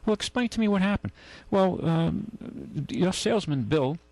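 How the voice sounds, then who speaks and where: Gravelly radio host telling rambling stories. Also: male voice, high-pitched, very animated, measured pace, darkish tone, no echo, poor audio. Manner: rambling anecdotes with many restarts and acted-out quotes. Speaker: radio show host and car dealer. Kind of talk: casual on-air storytelling. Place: radio studio.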